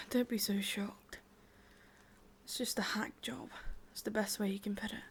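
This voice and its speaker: A woman with an English accent playing a possessive, lovesick witch in a whispered ASMR roleplay, coaxing softly and intimately, close to the microphone. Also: medium pitch, fairly even intonation, measured pace. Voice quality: breathy, whispery.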